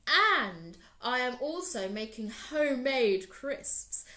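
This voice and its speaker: A woman reading a storybook and voicing a generous male lion with a grand, drawn-out way of speaking. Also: high voice, big pitch swings, pitched above the performer's own voice, heavily acted. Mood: proud. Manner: grand, drawn-out, warmly proud.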